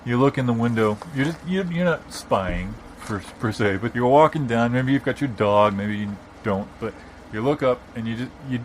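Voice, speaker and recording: A man playing a stubborn, opinionated rambler in improvised comedy, arguing bossily in a halting, repetitive way. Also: mid-range voice, natural voice. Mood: earnest, rambling. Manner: bossy, long-winded, argumentative. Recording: background noise, informal non-studio room.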